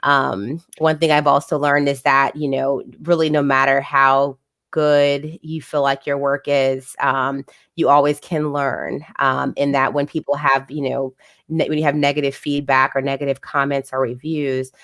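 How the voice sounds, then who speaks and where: A woman's voice: extremely low pitch, level tone, unhurried, fairly bright tone, no room sound. Reflective woman guest, dry sound. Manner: reflective, many fillers like "um" and "you know". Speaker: guest, physician assistant and children's author. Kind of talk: casual interview conversation.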